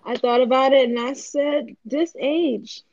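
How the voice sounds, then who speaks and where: Higher-pitched woman in her twenties, playful podcast co-host, dull and dry sound. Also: a little slow, a little mellow, no echo. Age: 20s. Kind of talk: casual chat.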